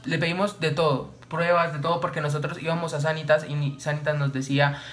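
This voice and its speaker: A young man, calmly explaining a story to camera in a small home room, with many fillers. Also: on the high side, quick pace. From Colombia. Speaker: vlogger.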